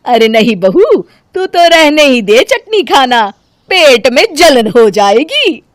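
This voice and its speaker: A woman puts on a stern cartoon mother-in-law who scolds with sarcasm. The delivery is emphatic and very animated in pitch. The sound is dry and close. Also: takes it slowly, no room sound, full character voice. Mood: sarcastic. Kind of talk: animated Hindi family story dramatization.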